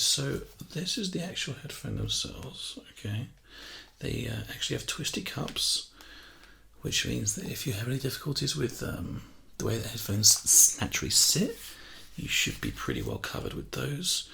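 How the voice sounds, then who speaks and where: Very creaky soft-spoken ASMR man. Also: medium pitch. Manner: casual, reassuring, hesitant and chatty. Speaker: ASMR performer playing a personal shopper. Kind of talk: softly spoken ASMR sales role play. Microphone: binaural microphone, close. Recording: close binaural mic, clear background hiss.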